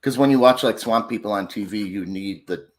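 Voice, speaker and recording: A man's voice: on the low side, brisk. A man from the United States co-hosting a podcast, joking and teasing in casual chat. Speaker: podcast co-host.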